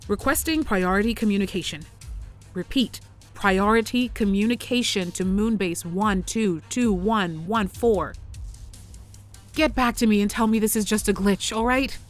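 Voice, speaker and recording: A woman's voice: mid-range voice, measured pace, soft background music, close to an everyday voice. A woman playing a worried, professional lunar engineer in an audio drama, formal but uneasy as she records messages and logs. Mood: urgent, controlled. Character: worried, professional lunar mining lead engineer, long isolated. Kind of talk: audio drama radio calls and log.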